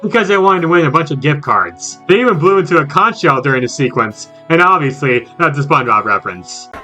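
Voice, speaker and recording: A man's voice: a little high, expressive, a little fast, low-quality recording. A man narrating a scripted video game review as host, with jokey asides. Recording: music under the voice. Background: background music.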